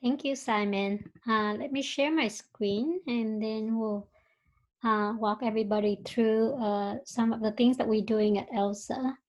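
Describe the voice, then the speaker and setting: Woman, Vietnamese accent, webinar presenter, earnest storytelling, fillers, video call, slightly high voice. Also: steady tone, measured pace. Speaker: company founder and presenter.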